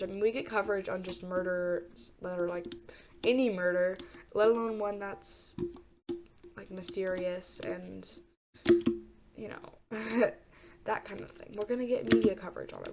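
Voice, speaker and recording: A woman's voice: medium pitch, fairly even intonation, normal speed, vocal fry, heavy background noise. A congested young woman casually telling a true crime story while gaming, hesitant and rambling with many fillers and restarts. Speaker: gamer talking true crime. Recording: loud keyboard typing behind.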